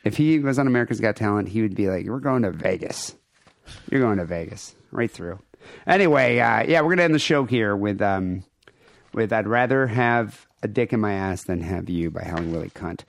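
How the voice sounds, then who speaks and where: A man's voice: on the low side, lively intonation, moderate pace, creaky, no room sound. A man co-hosting a podcast, rambling casually with crude, irreverent jokes and show plugs. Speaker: podcast co-host.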